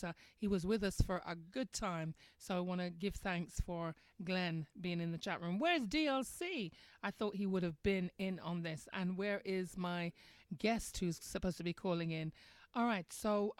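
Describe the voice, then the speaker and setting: A woman's voice: fairly low pitch, a little slow, dry recording. A woman hosting a live internet radio show, talking conversationally and putting questions to her listeners. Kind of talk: live internet radio commentary, addressing chat room. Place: radio studio.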